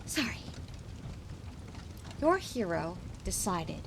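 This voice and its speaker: A woman playing a gleeful, unhinged female supervillain in a roleplay ASMR monologue, teasing and theatrical with drawn-out menace. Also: lowish voice, big pitch swings, vocal fry, slight background noise, full character voice. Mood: bitter.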